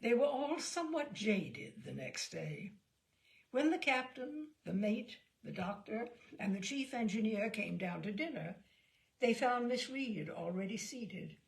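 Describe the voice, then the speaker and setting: A woman narrating a literary short story in a measured, articulate, expressive way. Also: on the high side, creaky. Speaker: storyteller, reader.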